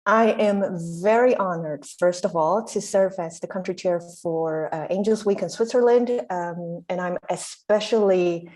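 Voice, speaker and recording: A woman's voice: lowish voice, normal speed, few pauses, darkish tone. A woman born in Taiwan introducing herself on a video call, hesitant with many fillers and restarts. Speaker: country chair and panelist. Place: bright room indoors. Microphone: laptop webcam on a video call.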